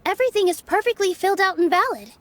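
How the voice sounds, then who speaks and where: Young female dancer, very high voice, earnest, determined, articulate, game dialogue. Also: animated, very fast, fairly bright tone, dry recording. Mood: earnest, firm. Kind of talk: video game voice acting.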